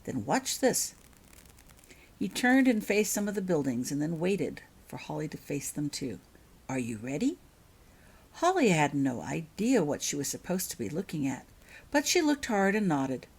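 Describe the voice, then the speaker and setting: A woman reads a story aloud in an expressive narrating style. Her voice sits on the low side, and her intonation moves widely from phrase to phrase. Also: normal speed, slightly rough. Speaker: author reading own fiction.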